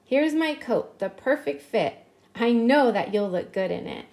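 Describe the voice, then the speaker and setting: Woman voicing eager, high-pitched little storybook children. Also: lively intonation, measured pace, darkish tone. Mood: helpful. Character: eager, helpful little children, boys and girls.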